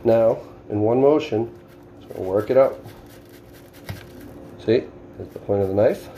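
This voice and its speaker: A man's voice: on the low side, a little slow, mellow, slight background noise. A middle-aged man with a Boston accent giving a casual step-by-step how-to in his home kitchen, plainspoken and instructive as he works with his hands. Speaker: home cook demonstrating fish filleting. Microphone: camera on the counter.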